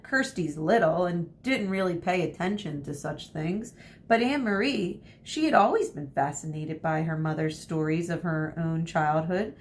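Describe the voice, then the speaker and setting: A woman teacher reading a storybook aloud expressively and deliberately, pausing to explain to her listeners. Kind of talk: storybook read-aloud with short asides. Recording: noisy with low rumble.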